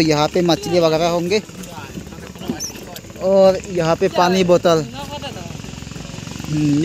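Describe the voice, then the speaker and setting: A young man with a northeast Indian accent talks in a fairly high voice. He explains things in a loose, rambling vlog style, circling back over his words. It is recorded outdoors on a phone, with some music in the background. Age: young adult. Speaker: village fishing vlogger. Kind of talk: casual vlog commentary to viewers. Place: outdoors on a small wooden boat on a river. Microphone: phone at arm's length.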